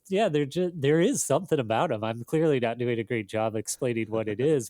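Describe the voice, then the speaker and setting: A man speaking casually as an editor being interviewed, in a thoughtful, halting, self-deprecating way. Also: medium pitch, darkish tone, dry recording, clean studio sound. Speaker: magazine editor, interview guest.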